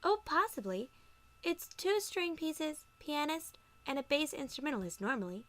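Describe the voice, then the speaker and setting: A woman voicing a polite, sweet, bashful female pony in an audiobook, speaking in a courteous, gentle way. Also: high voice, big pitch swings, normal speed. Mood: informative. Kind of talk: audiobook character acting.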